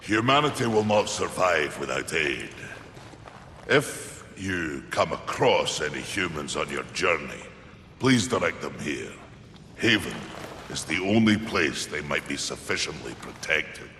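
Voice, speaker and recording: A man playing a gruff, patient giant maker who guards humanity in a video game, solemn and wry. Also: very deep voice, big pitch swings, sharp tone, full character voice. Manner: solemn, measured, wryly amused. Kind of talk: video game cutscene acting. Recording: echoey hall, faint background noise.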